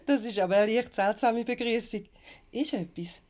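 An actress playing a defiant, quick-tongued young employee in a radio play, sharp and sarcastic, with a Swiss German accent. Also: female voice, a little high, no echo, lightly acted. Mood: puzzled, casual.

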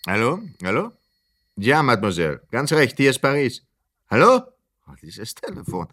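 An actor dubbing a police commissioner who shouts into a bad phone line, giving orders. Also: male voice, mid-range voice, lively intonation, normal speed, slight background noise, natural voice. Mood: impatient. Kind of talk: film dub.

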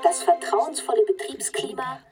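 An actress playing a polished, chirpy corporate announcer reading promotional narration in a radio drama. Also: female voice, high voice, moderate pace, far from the mic, over music, natural voice. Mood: upbeat, polished.

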